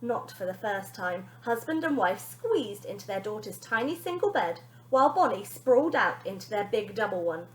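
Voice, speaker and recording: A woman teacher reading a children's story aloud in an expressive narrating style. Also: medium pitch, moderate pace, slight background noise. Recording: echoey room.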